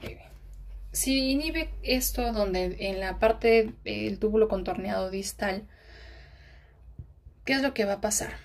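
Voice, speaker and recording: A woman teaching pharmacology while writing on a diagram. She keeps checking in with rhetorical questions and sometimes drops into a hushed aside. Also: medium pitch, takes it slowly, gravelly, pauses often. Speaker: pharmacology tutor.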